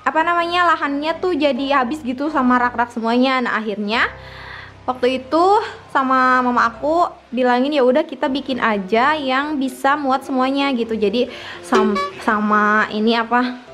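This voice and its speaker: High-voiced young woman chatting over music. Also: moderate pace, fairly bright tone. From Indonesia. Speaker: vlogger giving a home tour. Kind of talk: casual chat to viewers. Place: her own small house, front yard then living room. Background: background music.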